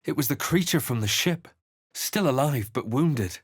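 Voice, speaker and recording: Casting: brooding male adventurer for a fantasy video game, mid-pitched, earnest, theatrical, dry studio sound. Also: expressive, moderate pace, breathy edge, no echo. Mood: solemn, tense. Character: brooding male fantasy adventurer hero, narrating his visions and deeds. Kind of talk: dramatic video game voice acting.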